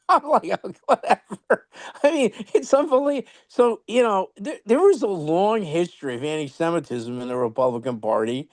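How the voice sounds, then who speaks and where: A man with a New York accent telling animated stories in a casual online chat, full of restarts and chuckles. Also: high voice, moderate pace, dry recording, clean studio sound. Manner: animated, laughing anecdotes with many restarts. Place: online video meeting.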